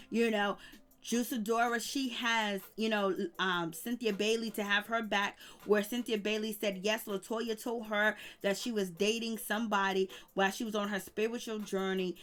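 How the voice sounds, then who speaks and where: A woman's voice: medium pitch, steady tone, moderate pace, a bit bright, soft background music. A woman casually recapping reality TV gossip, rambling with lots of fillers and humming disapproving "mm, mm" asides. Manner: chatty, rambling with many "you know" fillers. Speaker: YouTube reality TV commentator.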